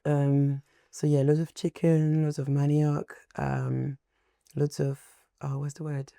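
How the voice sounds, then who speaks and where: A young man from France speaks English with a French accent, his voice gravelly with a dark, mellow color. He pauses often to find his words. The sound is close and dry, with no room around it. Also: fairly high pitch, level tone, no echo. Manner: hesitant, searches for words. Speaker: guest. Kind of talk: casual chat.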